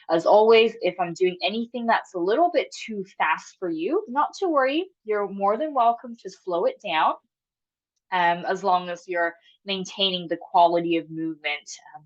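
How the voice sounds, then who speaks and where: A woman's voice: mid-range voice, dull audio. A woman with a cold, sniffling now and then, leading a live online exercise class in a friendly, encouraging, chatty way. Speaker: exercise instructor. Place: home room, video call.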